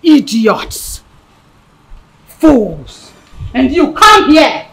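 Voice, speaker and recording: A woman's voice: sits high, very animated, very slow, heavily acted, rough recording. A woman with a Nigerian accent playing a haughty, spiteful young princess in a screen drama, scolding and ordering people about with contempt. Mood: screaming, indignant.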